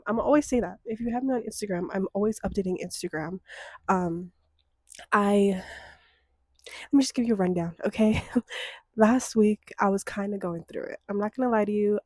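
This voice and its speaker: A woman's voice: medium pitch, darkish tone, no echo, clean studio sound. A young woman hosting her own podcast, talking in a loose, rambling way with lots of ums and likes, her voice a bit stuffy from a cold with a lingering cough. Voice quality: slightly congested. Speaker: podcast host. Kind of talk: casual solo podcast chat.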